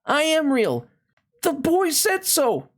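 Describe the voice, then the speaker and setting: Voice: sits high, wildly swooping pitch, slow, pitched above the performer's own voice, full character voice. A storybook reader voicing a timid, wistful little male toy rabbit with a childlike, insistent way of talking. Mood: pleading, insistent.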